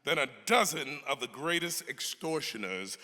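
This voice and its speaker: A man with a somewhat high, forceful voice performs a dramatic reading of old formal prose. His phrasing is oratorical and emphatic, swinging widely in pitch. It rings with the long reverb of a hall. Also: animated, normal speed. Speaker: actor giving a dramatic reading. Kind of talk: dramatic reading of an 18th-century pamphlet.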